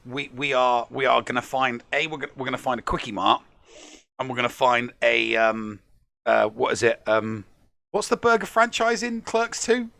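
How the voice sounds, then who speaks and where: Gravelly bright-voiced male podcast host. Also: medium pitch, normal speed, no room sound. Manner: jokey, laughs often, repeats words when excited. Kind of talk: casual live-stream chat.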